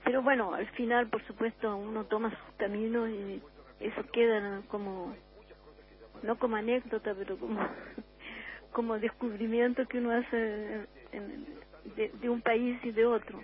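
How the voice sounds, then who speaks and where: A woman's voice: a little high, level tone, lo-fi sound. Older woman with a Chilean accent. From Uruguay. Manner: reflective, unhurried, with frequent restarts. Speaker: musician guest. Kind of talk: radio interview.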